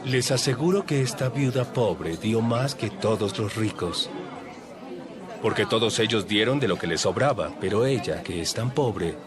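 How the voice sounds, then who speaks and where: A man's voice: fairly low pitch, expressive, normal speed, crowd noise, natural voice. A man playing an authoritative religious teacher in a dramatized audio Bible, instructing followers in a didactic, emphatic way. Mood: gentle, earnest.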